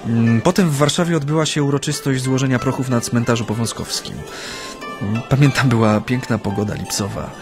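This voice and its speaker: An actor playing a reserved Japanese interpreter in exile in a naturalistic radio drama, speaking politely with hesitant pauses. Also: male voice, medium pitch, close to an everyday voice. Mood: reflective. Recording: studio radio drama with music.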